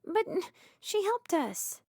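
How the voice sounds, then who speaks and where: High, expressive, cheerful teenage girl. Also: slow pace, exaggerated voice. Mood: doubtful. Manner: bubbly, expressive, girlish. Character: cheerful, curious teenage girl, wistful underneath.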